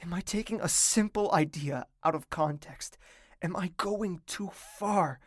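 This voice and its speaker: A man voicing a brooding, lonely young royal, narrating his thoughts in first person in an audio drama, quiet and sometimes whispering. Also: sits high, a little slow, no echo, clean studio sound. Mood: wistful.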